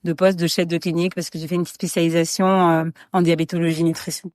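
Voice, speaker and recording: A woman's voice: lowish voice, level tone, fast talker, a little mellow, dry recording, clean studio sound. A woman doctor talking about her work in a podcast interview, hesitant, with many fillers and restarts. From France, raised in Guadeloupe. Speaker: medical regulator doctor, guest.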